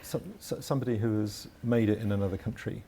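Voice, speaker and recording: Gravelly guy moderating, stumbles a bit, faint room noise around him. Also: fairly low pitch, steady tone, quick pace, warm tone. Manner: tentative, stammering questions. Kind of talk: academic question.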